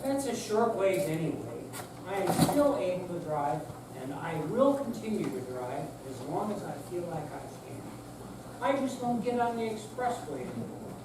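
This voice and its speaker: A woman's voice: lowish voice, big pitch swings, normal speed. A woman playing a stubborn but affectionate elderly husband in a staged reading, heard from far off in an echoey room. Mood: stubborn, reassuring. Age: older. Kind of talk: staged reading of a short play. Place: senior center room with audience, distant mic. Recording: echoey hall from far off, noisy.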